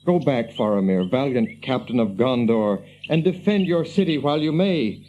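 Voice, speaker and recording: A man voices a small, weary fantasy quester, earnest and formal. The tone is mellow at a middling pitch. It is a radio play with dull sound and birdsong behind. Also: expressive, normal speed, faint music behind, close to an everyday voice. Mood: grieving, weary. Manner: earnest, formal, old-fashioned phrasing. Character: Frodo, earnest, weary hobbit on a perilous quest. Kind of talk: radio fantasy drama acting.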